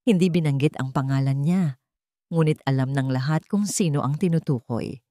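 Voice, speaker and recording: A woman's voice: deep voice, moderate pace, dark tone, dry recording, studio quality. A woman reading a scripted dramatic story as its narrator.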